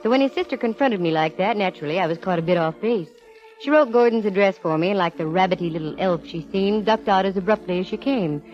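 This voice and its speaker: A woman's voice: medium pitch, quick pace, darkish tone, faint music behind, close to an everyday voice. An actress playing a wry, self-assured female private eye in a 1940s radio detective drama, with a cool, wisecracking, slangy delivery. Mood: wry narrating. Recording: muffled vintage 1940s radio recording.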